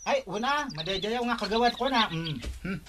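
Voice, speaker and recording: A man's voice: sits high, expressive, moderate pace, noisy background. A man acting a meek, grumbling village workman in a radio comedy drama, with an Ilocano accent. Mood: deferential.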